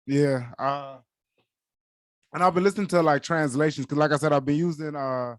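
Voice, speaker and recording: Man, native Yoruba speaker, young adult, podcast co-host, earnest, fairly high pitch. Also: steady tone, moderate pace, no echo. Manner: earnest, leans on "like" as a filler. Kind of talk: casual chat.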